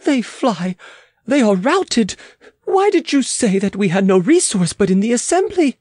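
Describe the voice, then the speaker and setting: A man's voice: high voice, very animated, a little slow, darkish tone, breathy edge, no echo, clean studio sound, pitched above the performer's own voice, exaggerated voice. Male reader as imperious queen, proud, agitated, exclamatory, swooping intonation, storybook drama. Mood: excited. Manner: haughty, exclamatory, commanding. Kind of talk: dramatic audiobook character reading.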